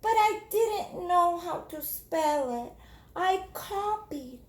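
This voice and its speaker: A woman's voice: extremely high pitch, lively intonation, slow, voice pushed up, heavily acted. A woman voicing an earnest, anxious young schoolgirl in a storybook, with a plaintive, hesitant manner. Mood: sheepish, sorry. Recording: echoey room.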